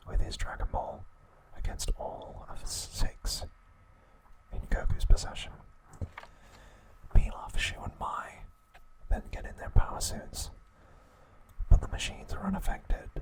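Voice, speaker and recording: Male, full whisper, breathy, slow deliberate phrasing, scripted ASMR narration. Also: poor audio. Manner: slow, deliberate, soft, broken into short phrases. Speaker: ASMR narrator recapping a show.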